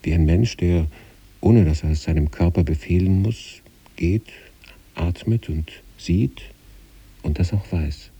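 Male narrator for literary prose, very dark and low timbre, measured, contemplative read. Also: breathy edge. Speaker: narrator reading a literary story. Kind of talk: scripted literary narration in the first person, as letters.

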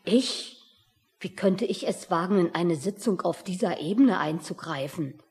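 An actress playing a nervous, well-read, deferential woman in a satirical radio play, speaking earnestly and excitably. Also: on the low side, measured pace. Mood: earnest, lecturing.

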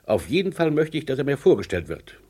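An actor playing an authoritative, distrustful mine boss in a radio crime play, with a commanding, deliberate manner. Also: male voice, medium pitch, sing-song, mellow. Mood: sceptical, pressing.

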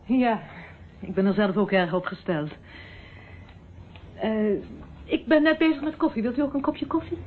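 A woman's voice: a little high, moderate pace, pauses often, close to an everyday voice. Radio play role: actress as courteous, uneasy lady of the house, hesitant and discreet, thin sound with faint noise. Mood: polite, welcoming. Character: polite, uneasy society hostess, discreetly probing. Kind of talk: Dutch radio drama acting. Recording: tinny, narrow old radio recording, faint noise.

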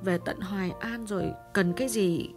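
A man's voice: high-pitched, fairly even intonation, normal speed, music in the background, pitched above the performer's own voice, lightly acted. A man voicing a remorseful injured young woman. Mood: pleading. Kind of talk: audiobook character voice.

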